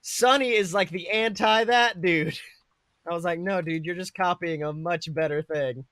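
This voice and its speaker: A woman co-hosting a podcast, chatting casually about films in a blunt, profane, jokey and animated way. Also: fairly low pitch, measured pace, no room sound. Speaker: podcast co-host. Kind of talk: casual film review chat.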